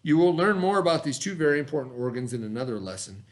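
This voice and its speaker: A man's voice: fairly high pitch, sing-song, fast talker. A man teaching a read-aloud lesson for children, speaking instructively, repeating key words and prompting with questions.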